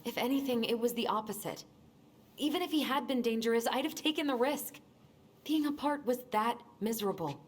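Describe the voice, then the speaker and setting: An actress playing an earnest, subdued young woman in a video game, soft-spoken and conciliatory. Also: fairly high pitch, lively intonation, brisk, husky. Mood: pleading, hurt. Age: young adult. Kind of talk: naturalistic video game acting.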